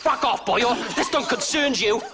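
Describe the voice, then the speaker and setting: High, sing-song Welsh thug. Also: male voice, animated, normal speed, noisy background. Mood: menacing. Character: belligerent Welsh male outlaw thug. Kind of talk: animated western game acting.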